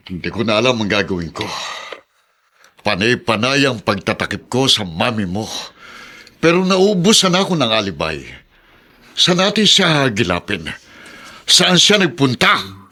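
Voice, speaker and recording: A man's voice: mid-range voice, sing-song, a little slow, long pauses, bright, forceful tone, heavily acted. A man acting a harsh, scheming middle-aged father in a radio drama, stern and exasperated in manner. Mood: exasperated worry.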